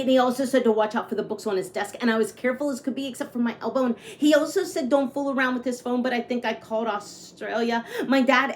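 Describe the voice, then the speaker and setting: A woman's voice: fairly high pitch, slight background noise, voice pushed up, close to an everyday voice. A woman voicing a grumpy, aggrieved young boy who narrates his bad day in a storybook, with emphatic complaining. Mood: sulky.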